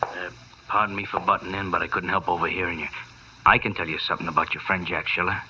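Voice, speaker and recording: An actor playing a sly, menacing thug with mock-polite threats in a 1950s radio drama. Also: male voice, deep voice, flat delivery, fast, natural voice. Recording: muffled, noisy vintage radio broadcast.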